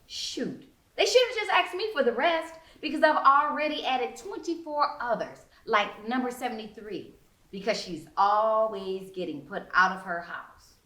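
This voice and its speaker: A woman's voice: mid-range voice, a bit bright. A woman author reading her novel aloud, narrating dramatically and expressively in the first-person persona of a young teen. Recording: roomy, echoing sound.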